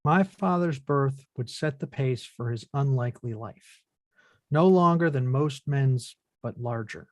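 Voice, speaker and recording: A man's voice: mid-range voice, unhurried, darkish tone, no echo, lightly acted. A man playing a reflective son in his late twenties in a film script table read.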